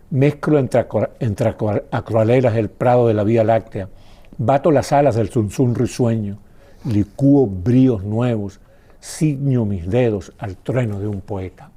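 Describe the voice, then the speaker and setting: A man's voice: medium pitch, dark tone. A man hosting a public-television interview, asking questions in a conversational, prompting way.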